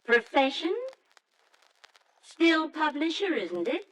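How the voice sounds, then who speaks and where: A woman acting a businesslike, courteous adoption caseworker in a 1940s-style radio drama, formal and measured. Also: sits high, sing-song, fairly bright tone, exaggerated voice. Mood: brisk, official. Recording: noisy old radio drama recording.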